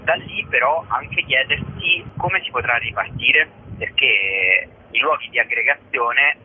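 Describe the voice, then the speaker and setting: A man speaking as an interview guest, explaining his thoughts in a measured, articulate way over a phone call. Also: medium pitch. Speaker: interview guest, theatre director. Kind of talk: reflective interview talk. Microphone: phone call to a radio studio. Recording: tinny phone line with clear background noise.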